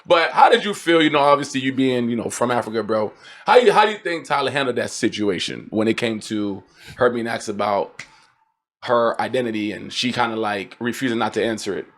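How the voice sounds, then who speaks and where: A man's voice: mid-range voice, lively intonation, a little fast, a bit bright. A man hosting a podcast in a casual, conversational style, with informal, slangy speech. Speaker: podcast host.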